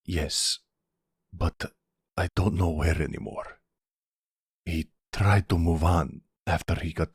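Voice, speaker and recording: Man playing anxious, husky-voiced young son. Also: low voice, no room sound, studio quality, exaggerated voice. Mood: sorrowful. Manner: halting, stiffly polite. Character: anxious, guilt-ridden young mobster's son, formal, hesitant. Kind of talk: audio roleplay drama.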